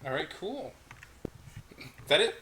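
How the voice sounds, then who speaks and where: A man's voice: a little high, big pitch swings, slow, bright, forceful tone. A man hosting an online script table read, joking and laughing easily between directions. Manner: jokey, laughs easily, clears throat. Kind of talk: casual livestream chat. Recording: roomy home mic with faint noise.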